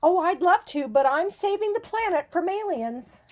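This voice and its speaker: Woman playing a self-important little astronaut boy, high-pitched. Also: animated, normal speed, a little mellow. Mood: grand, eager.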